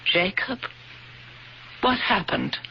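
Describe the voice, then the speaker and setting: An actress playing an anguished, intense wife bent on revenge, emotional and full of dramatic pauses, in a 1970s radio mystery. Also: female voice, mid-range voice, very animated, gravelly, exaggerated voice. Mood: anxious, bewildered. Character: anguished, intense wife who plotted long-awaited revenge. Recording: dull lo-fi old radio recording, faint noise.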